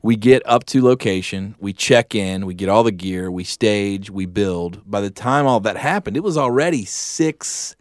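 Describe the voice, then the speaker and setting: A man's voice: fairly low pitch, lively intonation, moderate pace, few pauses, no room sound, clean studio sound. A man chatting casually as a podcast co-host, telling stories in an easygoing way. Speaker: filmmaker.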